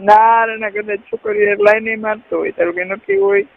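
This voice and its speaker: A woman's voice: medium pitch, big pitch swings, brisk, gravelly. A young woman with a Saurashtra Gujarati accent, chatting casually on a phone. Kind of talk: casual chat on a phone call. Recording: phone call recording, faint noise.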